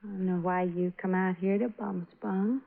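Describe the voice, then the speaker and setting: A woman's voice: medium pitch, steady tone, moderate pace, creaky, natural voice. An actress playing a coy, affectionate young American woman, bold and coaxing, in an old-time radio drama. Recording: muffled vintage radio broadcast.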